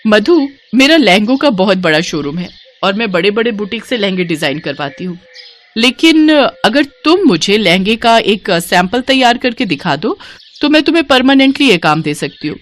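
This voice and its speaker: A woman voicing a wealthy female showroom owner who speaks briskly and kindly. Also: mid-range voice, sing-song, natural voice. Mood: businesslike.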